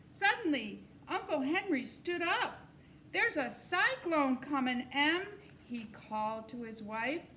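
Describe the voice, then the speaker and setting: A woman reads a children's novel aloud as an expressive storyteller. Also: high-pitched, slight background noise, rough recording. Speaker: storybook reader.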